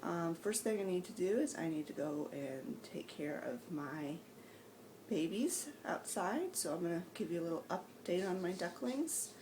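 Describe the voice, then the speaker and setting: A woman vlogging casually at home with a raspy, hoarse voice from allergies, chatty and rambling with plenty of ums. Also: lowish voice, lively intonation, moderate pace, a little mellow, echoey room, distant mic, a little hiss or hum. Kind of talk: casual rambling vlog chat. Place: home and outdoor animal pens. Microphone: handheld camera, self-filmed.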